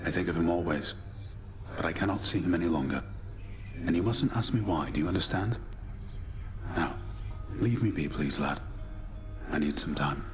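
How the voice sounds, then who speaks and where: A man voicing a grave, weary, fatherly warrior mentor in a video game, speaking solemnly and gently. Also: deep voice, normal speed, music in the background, close to an everyday voice. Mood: sorrowful. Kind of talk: dramatic video game voice acting.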